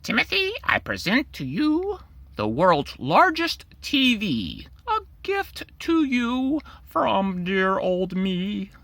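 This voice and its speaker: A man's voice: sits high, huge pitch swings, takes it slowly, gravelly, a bit bright, dry recording, voice pushed up, heavily acted. A man voicing a creepy little creature with a TV for a head, coaxing and theatrical, in a children's storybook.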